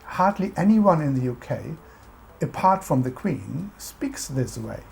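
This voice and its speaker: A woman's voice: sits low, very animated, measured pace, creaky, a little mellow. A woman with a German accent, narrating a scripted explainer about English culture in an instructive, emphatic way.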